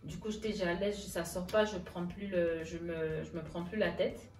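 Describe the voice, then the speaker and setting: A woman's voice: lowish voice, fairly even intonation, moderate pace, a little mellow. A woman interview guest casually telling her own story, often acting out past conversations in reported speech. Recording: echoey room, distant mic, music underneath.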